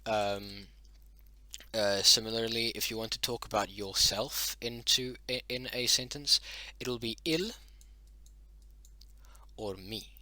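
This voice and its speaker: A man's voice: on the low side, fairly even intonation, slow, pauses often, sharp tone, dry recording. A man whose first language is Dutch-derived, explaining his invented language in a casual spoken lesson, hesitant with many ums and self-corrections.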